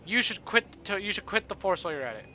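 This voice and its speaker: A man's voice: sits high, sing-song, quick pace, bright, forceful tone. A man streaming a visual novel, chatting in an encouraging way with his viewers. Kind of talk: livestream chat with viewers. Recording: dull audio with faint noise.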